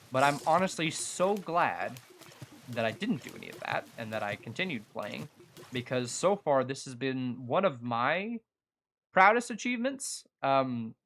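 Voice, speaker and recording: A young man talks casually as he plays a game for his viewers. His voice sits at an average male pitch. He rambles in an earnest, halting way, often thinking out loud. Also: slight background noise. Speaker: gaming streamer and video creator. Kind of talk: casual chat over live gameplay.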